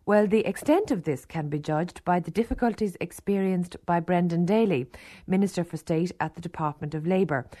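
A woman reporting the news in a measured, formal way. Her voice sits a little low and sounds warm and mellow. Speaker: radio reporter. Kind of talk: news report narration and interviewing.

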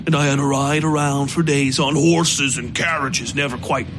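A man's voice: medium pitch, fairly even intonation, moderate pace, over music, natural voice. Man acting a pompous, scornful rich ranter. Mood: scornful ranting. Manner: pompous, theatrical speechifying. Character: ranting, scornful, drunken American man of wealth, a bigoted theorist. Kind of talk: dramatised audiobook dialogue.

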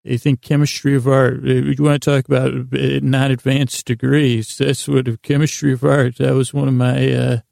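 A middle-aged American man hosting a bedtime podcast, rambling in a halting, digressive, self-deprecating way with a lulling, soothing tone. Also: mid-range voice, flat delivery, vocal fry, a little mellow, dry recording, clean studio sound.

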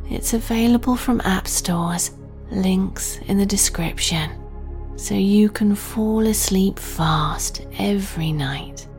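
Female sleep meditation host; breathy half-whisper, very unhurried, soft music bed. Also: medium pitch. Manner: slow, soothing, gentle delivery.

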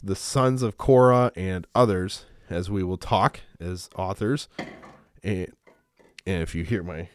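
A pastor in his thirties hosts his Bible podcast with a smooth, lowish voice. He talks in a loose, rambling way, full of fillers and restarts. The sound is close and completely dry. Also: dry recording. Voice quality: smooth, low. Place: small home studio.

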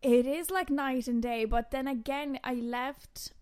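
A woman in her twenties, originally from Lithuania with Lithuanian as her first language, chatting as a podcast guest and telling stories in an animated way. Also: high voice, a little slow, clean tone. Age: 20s. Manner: chatty, animated storytelling with quoted voices. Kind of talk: casual chat.

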